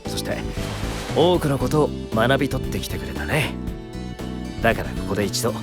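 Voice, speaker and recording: Easygoing, animated male mentor lawyer. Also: medium pitch, normal speed, over music. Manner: casual, encouraging, gently teasing. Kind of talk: Japanese audio drama acting.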